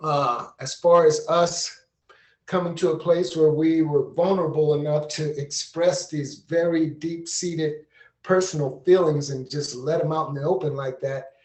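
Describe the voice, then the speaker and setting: A man giving earnest, unscripted reflections on a panel in deliberate phrases, recorded with an echoey room sound. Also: on the high side, steady tone. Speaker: panel participant and storyteller.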